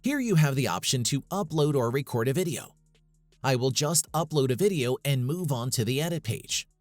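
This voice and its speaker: A man's voice: mid-range voice, lively intonation, normal speed, no room sound. A man reading a scripted tutorial as its host, in an instructional, step-by-step manner. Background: background music.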